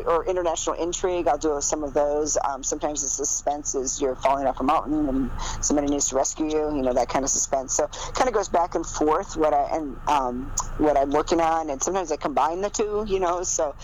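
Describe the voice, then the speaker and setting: A woman's voice: low-pitched, a little fast, hardly any pauses, a little mellow, low-quality recording. A middle-aged American woman chatting casually as an interview guest, rambling and often correcting herself. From American Midwest. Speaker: guest, romantic suspense novelist. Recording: faint background noise.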